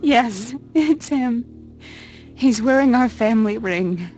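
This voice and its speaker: A woman's voice: fairly high pitch, expressive, slow, darkish tone, muffled recording, soft background music. An actress playing a young woman in a video game, a bereaved granddaughter who is curt and emotional. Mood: grieving. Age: young adult. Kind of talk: video game cut scene acting.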